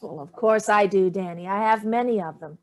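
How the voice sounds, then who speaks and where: A woman's voice: medium pitch, fairly quick, darkish tone, natural voice. An older woman playing a haughty, evasive elderly mother in a staged play reading, dismissive and theatrical with barbed remarks. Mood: breezy.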